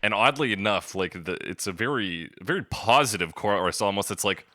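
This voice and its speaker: Low, bright-voiced man chatting casually. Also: expressive, moderate pace, no echo, studio quality. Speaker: podcast co-host. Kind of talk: casual chat about song lyrics.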